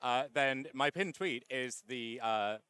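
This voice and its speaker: A man's voice: mid-range voice, slow, bright, forceful tone, smooth, no echo. A man being interviewed after winning a card tournament, chatty and wry, with lots of "uh" fillers. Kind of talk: casual post-match interview.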